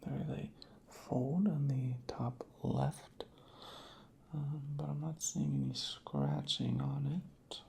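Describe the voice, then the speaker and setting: A soft-spoken ASMR creator murmuring methodically in a breathy, whispery voice, unhurried and close to the microphone. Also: mid-range voice, lively intonation, slight background noise. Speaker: ASMR creator playing a record inspector.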